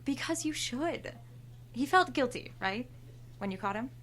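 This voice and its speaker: Brazen thirty-year-old cashier, breezy, sardonic, entitled, higher voice, radio drama dialogue. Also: female voice, animated, unhurried, a bit bright, a little hiss or hum. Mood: sympathetic. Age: 30s. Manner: breezy, sardonic, self-assured. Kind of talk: horror audio drama dialogue.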